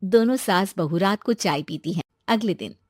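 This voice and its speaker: A woman's voice: mid-range voice, normal speed, slightly rough, dry recording, studio quality. Woman narrating a cartoon story. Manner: steady, storybook telling.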